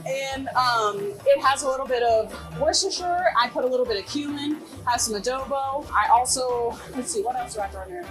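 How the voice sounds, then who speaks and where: A woman's voice: high voice, a little fast, hardly any pauses, fairly bright tone, soft background music. A young American woman chatting casually through a cooking demonstration as a chef, informal, slangy and enthusiastic. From Greensboro and High Point, North Carolina, United States. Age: young adult. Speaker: food truck chef.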